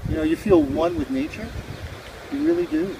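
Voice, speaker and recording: Rambling middle-aged man, loud background noise. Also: very high voice, sing-song, normal speed, creaky. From Hawaii. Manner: rambling, philosophical. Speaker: street musician being interviewed. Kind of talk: casual reflective chat. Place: outdoors by a beach park. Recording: loud outdoor background noise with vehicle sounds.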